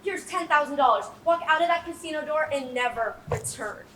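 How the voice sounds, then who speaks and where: A woman's voice: high voice, brisk, pushed and bright. A teenage girl playing a pompous, swaggering casino boss in a comic stage heist. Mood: menacing. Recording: distant stage microphone, faint noise.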